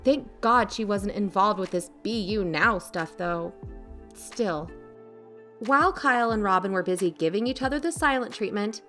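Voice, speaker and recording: Actress doing skeptical protective aunt, medium pitch, dry confiding audio drama delivery, music under it. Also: female voice, fairly bright tone. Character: wary, dryly skeptical young aunt, protective little-sister type. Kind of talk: first-person audio drama narration.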